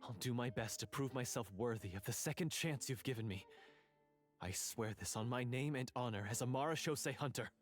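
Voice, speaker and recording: A man's voice: medium pitch, fairly quick, faint music behind, natural voice. A man playing a meek, earnest and flustered young hunter in a video game, speaking hesitantly. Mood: grateful, solemn.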